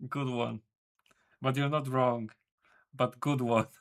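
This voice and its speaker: A man's voice: mid-range voice, fairly even intonation, slow. A man streaming as a game developer, chatting casually with his viewers in a rambling way, correcting himself and swearing offhandedly. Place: home room.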